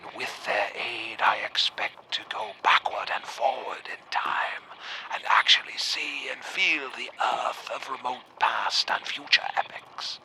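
An actor playing a feeble, sickly old farmer in a radio drama, whispering breathily and making grand, intense pronouncements. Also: male voice, medium pitch, measured pace, creaky, low-quality recording. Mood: fervent, eerie.

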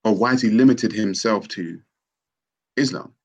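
Gravelly, husky skeptical man. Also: lowish voice, moderate pace. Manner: skeptical, questioning, argues with rhetorical questions. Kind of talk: casual conversation.

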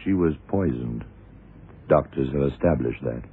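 A very deep-voiced, dry male detective fires clipped, probing questions. The voice is husky and unflappable, in the manner of a seventies radio thriller. It comes through thin and tinny, like an old broadcast. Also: lively intonation, normal speed, exaggerated voice. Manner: clipped, matter-of-fact questioning. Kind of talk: 1970s radio crime drama acting. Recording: tinny, band-limited old radio recording.

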